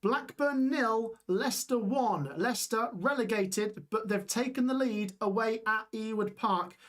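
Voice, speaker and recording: A young man hosting a live football watch-along stream, reading out scores and chatting with viewers while his voice gets hoarse. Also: sits high, measured pace, fairly bright tone. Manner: chatty, reads scores aloud, reacts to chat. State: voice going hoarse.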